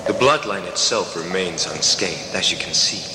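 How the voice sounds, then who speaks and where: A man's voice: low-pitched, normal speed, noisy background, natural voice. An actor playing a proud, centuries-old lion-like king in a sci-fi audio drama, solemn and declamatory. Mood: wary, reflective. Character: proud, scarred, centuries-old leonine king, male creature. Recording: echoey hall reverb, music behind.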